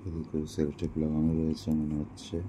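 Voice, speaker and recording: A man's voice: a bass voice, monotone, fast, noisy background. A man casually narrating an unboxing in an explanatory way, mixing in English phrases. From India. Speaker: product unboxing presenter.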